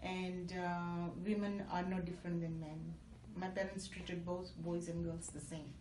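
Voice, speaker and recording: A woman's voice: lowish voice, level tone, a little slow, darkish tone. A middle-aged woman from Fiji, a guest in a casual interview, talking earnestly with many ums and uhs. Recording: roomy echo, faint noise.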